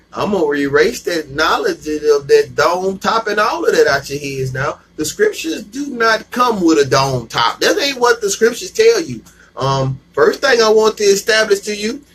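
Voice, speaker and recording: A man's voice: high-pitched, a bit bright, slight background noise. A man teaching scripture in an emphatic call-and-response style, slangy and repeating himself for emphasis. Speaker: bible teacher. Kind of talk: street-level scripture teaching.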